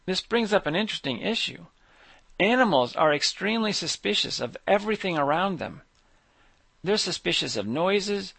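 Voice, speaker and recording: A man with a slightly high voice reads his own scripted monologue. His delivery stays measured and even. The recording is close and dry, with no room sound. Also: vocal fry, no room sound. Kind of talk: scripted monologue read aloud.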